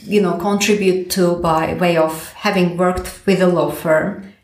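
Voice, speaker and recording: A woman from Turkey, a pro bono director, answering interview questions in a lively way, thinking aloud with many fillers and restarts. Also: lowish voice, a little slow, reverberant. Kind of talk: conversational podcast interview.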